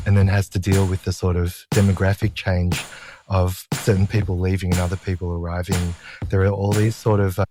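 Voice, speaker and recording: A man who edits a magazine, talking casually and reflectively in an interview. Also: low voice. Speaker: magazine editor being interviewed.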